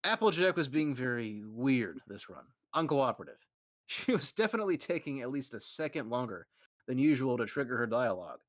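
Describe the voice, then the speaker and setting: A man's voice: mid-range voice, big pitch swings, a little fast, no echo, studio quality. A man reading a fanfic story aloud to friends, often breaking into laughter. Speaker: fanfic reader, host.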